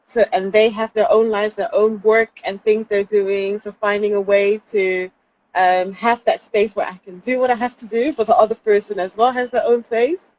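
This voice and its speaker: A woman's voice: mid-range voice, flat delivery, moderate pace, darkish tone, highs cut off. A young woman from the Netherlands, a trainee counselling psychologist, speaking thoughtfully as an interview guest from outdoors. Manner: thoughtful, with light laughs and hesitations. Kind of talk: casual interview chat. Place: outdoors during lockdown.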